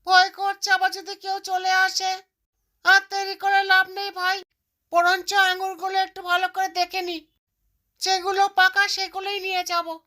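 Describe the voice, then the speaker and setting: A woman's voice: extremely high pitch, monotone, measured pace, bright, forceful tone, heavily acted. A woman voicing a little cartoon bird with a childlike, chattering manner. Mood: eager, wary. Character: greedy, stingy little mother bird and her chirpy chicks. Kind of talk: cartoon character voice.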